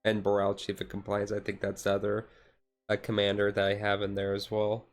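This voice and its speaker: A man streamer chatting casually in a relaxed, halting, rambling way, thinking aloud as he goes. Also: fairly low pitch. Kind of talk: relaxed casual chat about a card game, ASMR-style stream. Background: music playing softly behind the voice.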